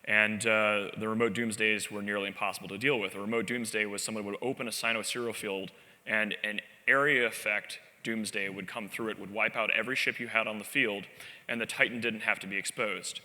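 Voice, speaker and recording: A man gives a live conference talk in a large hall, telling anecdotes with a wry, self-deprecating edge. His voice sits on the low side but sounds very bright and sharp. The stage microphone picks up the long reverb of the room. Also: brisk. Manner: animated, wry storytelling with self-deprecating asides. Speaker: conference speaker.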